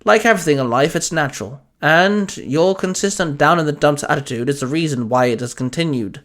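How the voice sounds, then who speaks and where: A man's voice: on the high side, a little fast, a bit bright, close to an everyday voice. A man voicing a chirpy, knowing shopkeeper who mentors a younger man in an audio story. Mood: encouraging.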